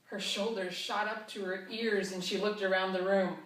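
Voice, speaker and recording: A woman's voice: medium pitch, steady tone, moderate pace, a bit bright. Woman narrating romance in an echoey room. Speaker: author reading an excerpt. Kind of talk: romance novel reading. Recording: roomy, distant.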